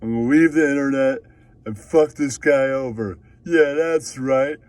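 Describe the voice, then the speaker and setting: A man's voice: medium pitch, darkish tone, dry recording, lightly acted. A man playing a foul-mouthed, aggrieved puppet character who blusters indignantly in an improvised comic monologue. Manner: blustering, swearing, drawn-out indignation.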